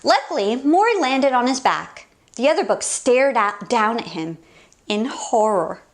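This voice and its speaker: A woman hosting a children's story time, reading a picture book aloud in an expressive, sing-song, exclaiming way. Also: a little high, unhurried.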